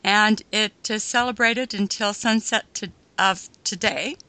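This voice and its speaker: A woman hosting a talk radio interview, speaking in a measured way with frequent pauses and fillers. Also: medium pitch, sharp tone, no echo. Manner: measured, halting with many fillers. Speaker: radio host.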